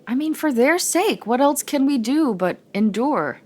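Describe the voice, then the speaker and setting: A woman's voice: sits high, animated, unhurried, a little mellow. A woman in an audio play playing a pragmatic, conciliatory young sister with an American accent and an earnest, reassuring manner. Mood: placating.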